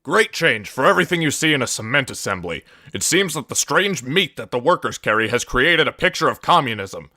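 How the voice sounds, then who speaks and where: A man's voice: mid-range voice, sing-song, brisk, hardly any pauses, bright, forceful tone, dry recording, clean studio sound. A man doing a scripted reading of game monologues.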